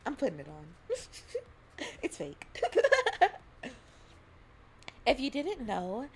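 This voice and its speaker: A woman's voice: on the high side, sing-song, slow pace, darkish tone, a little hiss or hum. A young adult woman from Alabama chatting casually on a livestream, giggling often and rambling between thoughts. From Alabama, US South. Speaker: streamer.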